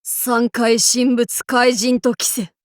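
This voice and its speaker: A woman's voice: a little high, little pitch movement, slow pace, no room sound, studio quality. A woman voicing a boisterous female demon king warlord in a Japanese anime game, speaking in a haughty, archaic, theatrical way. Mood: solemn, grandiose. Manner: haughty old-fashioned lordly speech, theatrical battle cries.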